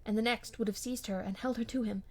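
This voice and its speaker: A woman reading a classic novel aloud as an amateur audiobook narrator, with expressive scripted delivery. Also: a little high, quick pace.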